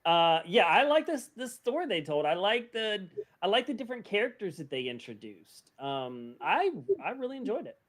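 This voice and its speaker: A man's voice: high voice, sing-song, moderate pace, few pauses, a bit bright. A man chatting casually as a co-host on a podcast. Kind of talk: casual chat.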